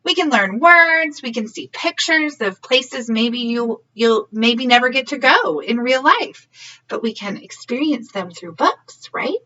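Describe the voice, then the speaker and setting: A woman's voice: fairly high pitch, expressive, a little slow, pushed and bright. A woman reading a story aloud for young children in a teacherly way, often checking in with questions. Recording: slightly muffled, distant mic.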